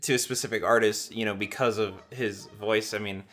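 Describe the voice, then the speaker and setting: A man's voice: on the low side, fairly even intonation, fairly quick, a bit bright. A man co-hosting a podcast, speaking hesitantly and searching for words. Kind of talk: casual podcast chat.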